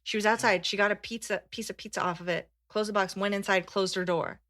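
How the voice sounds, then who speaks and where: Woman co-host, bright forward voice, giggly and animated, reading mail on a podcast. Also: medium pitch, fast talker, no room sound, studio quality. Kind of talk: reading listener letters and chatting.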